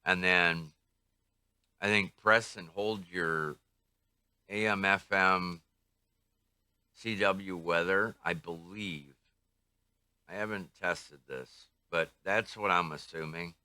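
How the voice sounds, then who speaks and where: Older man, low voice, slow and unhurried. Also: fairly even intonation, pushed and bright, smooth, no echo. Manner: unhurried, tentative, thinking aloud. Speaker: radio reviewer. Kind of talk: casual hands-on product review.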